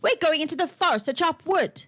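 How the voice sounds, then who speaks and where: A selfish storybook stepmother, played by a woman reader, bossy and insistent. Her voice sits high and moves sharply up and down, on a slightly dull recording. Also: animated, brisk, fairly bright tone. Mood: brisk. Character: harsh, selfish stepmother in a fairy tale.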